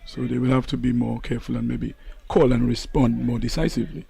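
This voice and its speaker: Calm male cricket co-commentator, gravelly and very husky, dark tone, agreeable. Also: medium pitch, fairly quick. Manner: calm, agreeable analyst, often says "yes, indeed".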